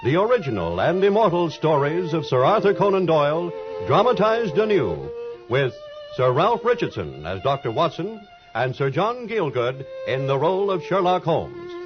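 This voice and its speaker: A man's voice: on the high side, very animated, moderate pace. A man announcing a classic radio drama in a formal, old-fashioned scripted introduction. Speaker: programme announcer. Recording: dull old radio recording. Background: violin music.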